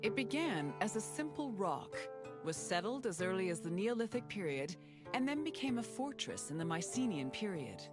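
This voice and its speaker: A woman's voice: mid-range voice, big pitch swings, moderate pace, lightly acted. A woman voicing a knowledgeable female history guide, giving informative, lecturing scripted narration. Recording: game music behind.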